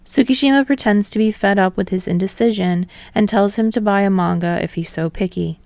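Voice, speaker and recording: A woman's voice: medium pitch, a little fast, gravelly, darkish tone, no room sound. A woman reading fiction aloud as a scripted audiobook narrator.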